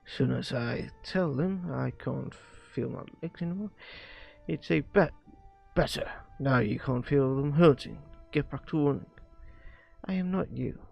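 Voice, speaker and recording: A man streaming a visual novel, reading its dialogue aloud haltingly and often stumbling and rereading lines. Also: mid-range voice, gravelly, darkish tone, husky. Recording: music behind the voice. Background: music.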